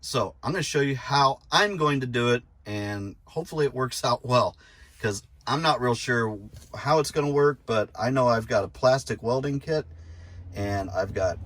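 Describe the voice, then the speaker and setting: A man's voice: mid-range voice, normal speed, fairly bright tone. A middle-aged man casually talking through a hands-on DIY job, informal and folksy, thinking aloud as he goes. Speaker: host of a DIY install video. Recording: noticeable background noise.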